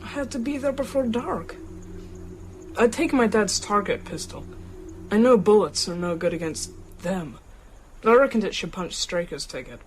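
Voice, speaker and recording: A woman's voice: mid-range voice, animated, normal speed, faint music behind, close to an everyday voice. A woman playing a bold, earnest young American boy who hunts vampires in a radio drama. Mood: matter-of-fact.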